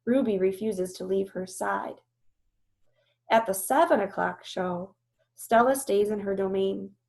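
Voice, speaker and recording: A woman's voice: mid-range voice, a little slow, vocal fry, a little mellow. A woman teacher reading a storybook aloud and explaining it, instructive and often checking that listeners understand.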